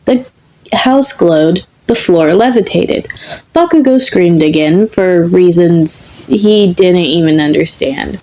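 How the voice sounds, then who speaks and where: A woman reading comic fan fiction as scripted narration, in a measured, deliberate manner. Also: fairly low pitch, warm tone, poor audio. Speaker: podfic reader.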